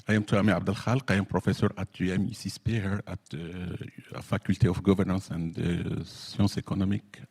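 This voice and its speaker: A professor opens a conference panel in English, which is not his first language. His voice is low and a bit husky. He speaks haltingly, with frequent fillers. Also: flat delivery, a little mellow, big-room sound. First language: not English; English is his third language. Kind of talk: opening remarks at a conference panel.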